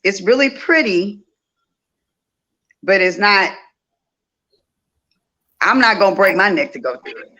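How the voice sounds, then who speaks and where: A woman's voice: mid-range voice, lively intonation, measured pace, pauses often, sharp tone, lo-fi sound. A woman, telling stories casually and repeating phrases for emphasis, as a guest in an interview. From Louisiana, United States. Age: 50s. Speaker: interview guest, festival organizer. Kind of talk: casual conversational storytelling.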